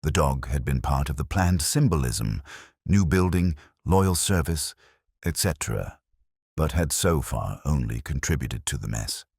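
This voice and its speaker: Male narrator for literary mystery: bottom-register voice, slightly husky, wry and measured delivery. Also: very deep voice, lively intonation, a little mellow, no room sound, clean studio sound. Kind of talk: scripted narration of a mystery story.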